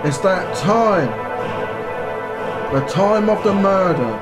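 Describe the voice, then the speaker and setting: An actor playing a dramatic, nervy character in a haunted house radio play for Halloween. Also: male voice, sits high, animated, slow pace, loud music behind the voice. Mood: ominous, tense.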